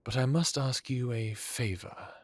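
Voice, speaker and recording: A man playing an ancient, courtly vampire lord in an audio drama, calmly authoritative, with formal, genteel phrasing. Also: mid-range voice, unhurried, dry recording, lightly acted. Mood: gracious.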